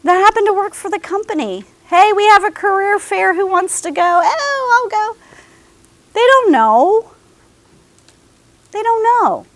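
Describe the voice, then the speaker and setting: Lively, high-voiced woman presenting to students. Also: a little slow, pauses often, no echo. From American South. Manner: animated, addresses students directly, checks in with "okay?". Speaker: career services presenter. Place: classroom with students.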